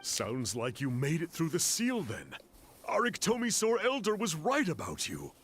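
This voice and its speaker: A man's voice: fairly high pitch, huge pitch swings, moderate pace, fairly bright tone, a little hiss or hum. An actor playing a friendly male guide in a fantasy video game. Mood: warm, approving.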